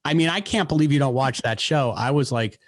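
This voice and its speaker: A man co-hosting a podcast, chatting in a rambling, animated way with many restarts. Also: mid-range voice, brisk, dry recording, studio quality. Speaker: podcast co-host. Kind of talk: casual podcast chat.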